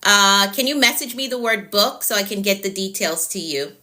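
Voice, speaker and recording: Bright-voiced Jamaican woman coach. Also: mid-range voice, animated, moderate pace. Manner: repeats key points, addresses viewers by name. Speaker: productivity coach. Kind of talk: live-stream coaching talk to viewers.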